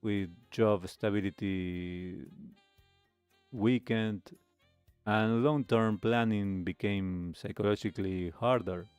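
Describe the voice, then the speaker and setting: A man with a fairly low voice hosts a talk show in a reflective way. He phrases things deliberately, sometimes halting and repeating a word. The sound is very dry, with music playing behind him. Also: steady tone, darkish tone, smooth, no echo. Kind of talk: reflective commentary on a video.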